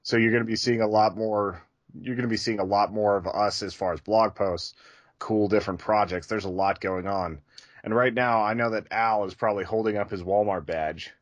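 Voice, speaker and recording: A man's voice: lowish voice, fairly even intonation, a little fast, dry recording, lo-fi sound. A man chatting casually and enthusiastically as a podcast co-host.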